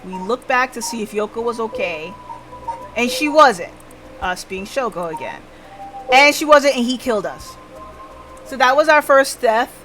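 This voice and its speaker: A woman's voice: a little high, animated, normal speed, long pauses, fairly bright tone. A woman livestreamer casually recapping a game, thinking aloud and checking in with listeners, with music behind her. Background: background music.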